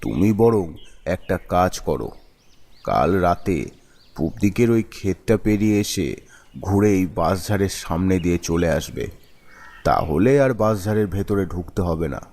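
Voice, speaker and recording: A man playing a practical, steady villager in a cartoon ghost story, speaking in a measured, earnest way. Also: low voice, gravelly, heavily acted. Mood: advising, calm. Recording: background music under the voice.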